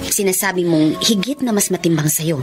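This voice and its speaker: An actress playing a gentle older-sister figure who gives calm, reassuring advice in a radio drama. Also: female voice, on the low side, moderate pace, natural voice. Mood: advising. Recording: music score behind. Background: music.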